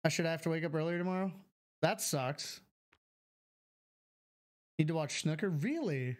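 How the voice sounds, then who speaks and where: A man's voice: a little high, moderate pace. A man chatting casually on a live game stream, reading chat aloud and answering it, on a studio mic at home. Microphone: studio mic with filters.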